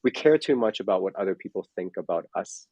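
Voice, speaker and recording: A man's voice: deep voice, animated, fast talker, mellow, husky, no room sound. A man in his forties, a fitness coach, talking in a casual chat, rambling with lots of fillers and restarts. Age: 40s.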